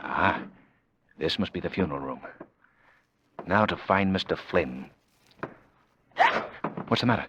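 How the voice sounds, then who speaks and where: Urbane bold sleuth, animated delivery, decisive, vintage radio mystery, faint background noise. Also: male voice, sits low, a little slow, pauses often, heavily acted. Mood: teasing, confident. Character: suave, bold male amateur sleuth, adult. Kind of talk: old-time radio mystery acting.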